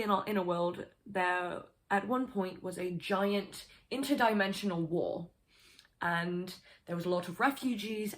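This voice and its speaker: A woman vlogger talking casually and at length, rambling a little and swearing now and then. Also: on the low side. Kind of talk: casual explanatory vlog.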